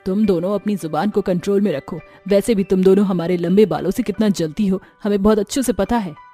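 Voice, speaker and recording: A woman's voice: medium pitch, brisk, mellow, soft background music, lightly acted. A woman voicing a rich elder sister-in-law who mocks in a haughty way. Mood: smug. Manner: mocking, haughty. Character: rich, long-haired sister-in-law, smug.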